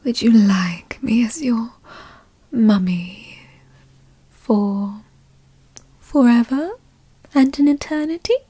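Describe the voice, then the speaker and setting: A woman acts out a doting yet possessive girlfriend in an ASMR roleplay. She whispers slowly and breathily, coaxing and teasing in a motherly, dominant way. The sound is dry and intimate. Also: on the high side, mellow, breathy edge, dry recording. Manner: coaxing, teasing, pet names.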